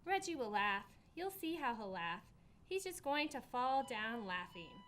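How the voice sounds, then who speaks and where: A woman's voice: a little high, sing-song, unhurried, fairly bright tone, slight background noise. A woman voicing a teasing, doubtful older sister in a storybook, with pointed, taunting questions.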